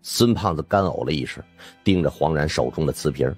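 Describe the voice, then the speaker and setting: Low, animated male audiobook narrator. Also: a little fast, breathy edge, faint music behind. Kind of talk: dramatic scripted narration of supernatural suspense fiction.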